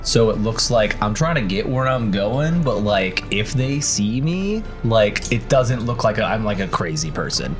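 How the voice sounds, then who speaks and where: A man plays a tabletop game in casual, joking chat, his pitch swinging widely. His voice is mid-range, with music clearly behind it. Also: very animated, a little slow, hardly any pauses. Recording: loud cinematic music underneath.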